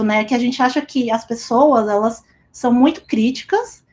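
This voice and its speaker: A young woman with a fairly high voice talks casually into a remote call. She speaks in loose, chatty runs and leans on little fillers as she goes. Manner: chatty, lots of fillers like "sabe" and "tipo". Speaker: speaker at a company talk. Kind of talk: casual personal talk. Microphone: remote call recording.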